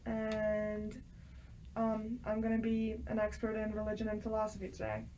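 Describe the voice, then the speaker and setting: A woman's voice: medium pitch, completely flat delivery, normal speed, dark tone. A young woman from Ukraine, a student guest, giving a brief and slightly hesitant introduction on a podcast. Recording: muffled lo-fi mic with faint background hiss, off-mic.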